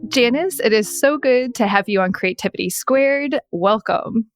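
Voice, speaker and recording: A woman's voice: a little high, unhurried, soft background music. A woman hosting an interview podcast, moving between scripted introductions and conversational questions. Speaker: podcast host.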